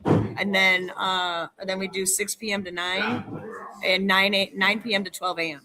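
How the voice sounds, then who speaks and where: A woman's voice: mid-range voice, fairly even intonation, unhurried, a crowd in the background. A woman chatting casually and enthusiastically as a wrestling trainer and podcast guest. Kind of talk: casual chat.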